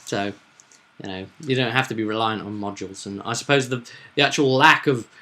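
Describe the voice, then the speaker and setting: A man's voice: lowish voice, brisk, pushed and bright. A man hosting as game master, chatting casually about a tabletop roleplaying game and often repeating himself with tag questions.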